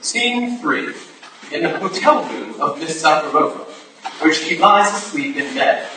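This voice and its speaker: A man's voice: a little high, animated, measured pace. A man narrating stage directions at a scripted staged reading. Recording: distant, very echoey room, clear noise.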